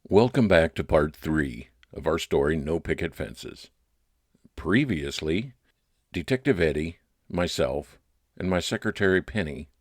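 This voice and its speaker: A man playing a private investigator who narrates his case in old-time radio style, with deliberate dramatic pauses. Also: low voice, no room sound, studio quality. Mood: brooding. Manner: deliberate, dramatic pauses, stretched descriptive phrases.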